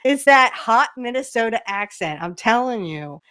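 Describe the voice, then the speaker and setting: A woman's voice: fairly high pitch, animated, moderate pace, pushed and bright. A woman hosting a podcast, chatting casually along with a video in a fond, giggly way, with many filler ums. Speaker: podcast host. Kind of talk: casual watch-along commentary.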